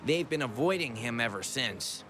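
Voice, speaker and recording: A man's voice: medium pitch, lively intonation, normal speed, background noise, close to an everyday voice. An actor playing a concerned, chatty male townsperson in a video game. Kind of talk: scripted game dialogue. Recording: game music behind voice.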